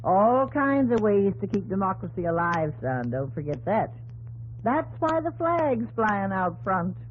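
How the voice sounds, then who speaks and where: An actress playing a brisk, folksy small-town housewife in a 1950s radio drama, plain-spoken and matter-of-fact. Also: female voice, mid-range voice, big pitch swings. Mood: proud. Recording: vintage radio broadcast, narrow band, faint noise.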